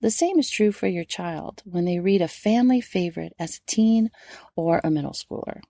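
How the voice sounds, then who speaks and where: A woman's voice: lowish voice, moderate pace, mellow, dry recording. A middle-aged woman hosting a podcast solo, giving instructive advice and speaking directly to her listeners. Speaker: homeschool podcast host.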